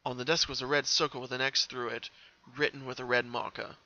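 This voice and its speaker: A man's voice: mid-range voice, flat delivery, a little fast, bright, forceful tone, muffled recording. A man narrating a story as a dramatic reading. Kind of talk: dramatic reading of fan fiction.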